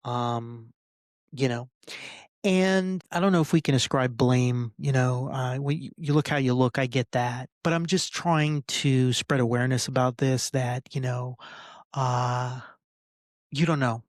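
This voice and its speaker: A man in his forties talking casually as a podcast host, venting with halting, repetitive phrasing and some swearing. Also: mid-range voice, fairly even intonation, no room sound, clean studio sound. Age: 40s. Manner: frustrated, sweary. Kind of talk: casual personal rant to listeners.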